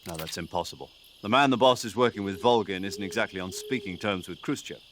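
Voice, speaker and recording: A man's voice: low voice, quick pace, a bit bright. A man playing an authoritative military commander in video game radio dialogue, firm, articulate and earnest. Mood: concerned. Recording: faint night insect noise behind.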